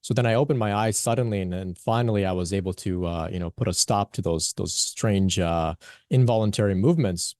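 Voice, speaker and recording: A man's voice: sits low, fairly quick, darkish tone, no room sound, studio quality. A young man, born in Pakistan and raised in Canada, giving an earnest conference talk as a spiritual teacher, with many fillers and restarts. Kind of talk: conference talk, personal story.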